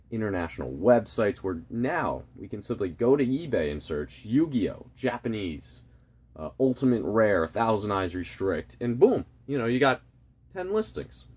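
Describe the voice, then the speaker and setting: Low-voiced man talking collectibles. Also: a little mellow. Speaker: host of a trading card collecting channel. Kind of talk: casual opinion commentary to viewers.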